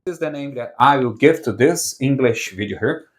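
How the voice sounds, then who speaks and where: A man's voice: mid-range voice, brisk. A man from southern Brazil, a native Portuguese speaker with a Brazilian accent, giving a casual online language lesson in rambling English that he often corrects as he goes. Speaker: language teacher.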